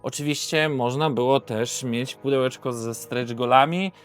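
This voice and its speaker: A man's voice: medium pitch, steady tone, normal speed, faint music behind. A man hosting an unscripted board game video, hesitant and often correcting himself. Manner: hesitant, self-correcting, throat-clearing. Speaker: board game reviewer and host.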